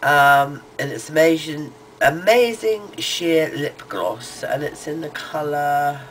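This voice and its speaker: A woman's voice: low-pitched, expressive, slow. Stuffy-nosed lady rambling about makeup on her webcam, noisy audio. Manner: rambling, hesitant, lots of ums. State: nasal and congested from a cold. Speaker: beauty vlogger. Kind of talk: casual makeup haul chat. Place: small cluttered bedroom, indoors. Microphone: webcam close to the face.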